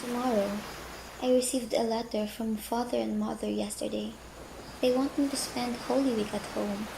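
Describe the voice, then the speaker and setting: A woman's voice: on the high side, steady tone, moderate pace, gravelly, background noise. A young woman with a Filipino accent playing a coy, wistful small-town girl being courted in a student radio drama. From Philippines. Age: young adult. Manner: coy, gently teasing.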